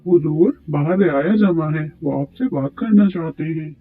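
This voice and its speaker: A man plays a courtly royal minister in a cartoon, with a high-pitched voice. His manner is formal and deferential, that of a servant to a king. The sound is tinny and phone-like, over music. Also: moderate pace, close to an everyday voice. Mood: dutiful. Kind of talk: Urdu moral cartoon story dialogue.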